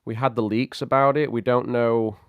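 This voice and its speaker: A man hosting a casual tech livestream, chatty and greeting viewers by name, his voice a little croaky from a cold. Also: medium pitch, fairly even intonation, moderate pace, no echo, phone-quality sound. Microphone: studio microphone.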